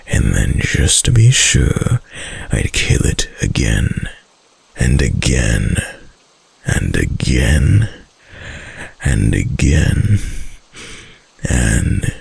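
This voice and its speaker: A man playing a doting, possessive kitsune husband in an intimate roleplay ASMR, with a soft, husky, lingering murmur. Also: no echo, exaggerated voice. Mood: darkly possessive. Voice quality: very deep, husky, gravelly.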